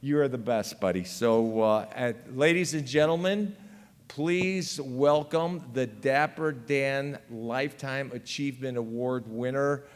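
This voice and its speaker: A man's voice: medium pitch, measured pace, smooth. An American man presenting an award in a heartfelt tribute speech. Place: large banquet hall, speaking at a podium. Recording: long hall reverb from PA.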